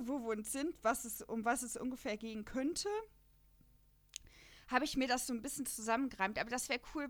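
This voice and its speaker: A woman from the Lower Rhine in Germany talks in a fairly high voice. She is a podcast co-host in casual conversation, chatty and rambling, with a self-deprecating way of putting things. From Lower Rhine region, Germany. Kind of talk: casual chat about an audio drama.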